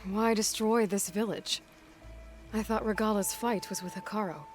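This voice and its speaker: A woman's voice: medium pitch, steady tone, moderate pace, faint music behind, natural voice. An actress playing a resolute, practical young woman warrior in a video game cutscene. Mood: questioning.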